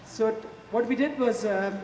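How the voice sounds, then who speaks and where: Male chef, forties, Indian accent, chatty halting demo delivery, distant mic in a reverberant space. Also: high voice, darkish tone. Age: 40s. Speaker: chef giving a cooking demonstration. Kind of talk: casual live cooking demonstration. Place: large echoey event space before an audience. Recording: echoey, noisy public hall, distant mic.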